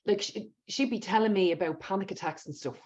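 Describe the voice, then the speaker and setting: A woman's voice: medium pitch, a little fast, darkish tone. A woman hosting a podcast interview in an emphatic, conversational way, often repeating words for stress. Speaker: podcast host. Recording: dull lo-fi audio.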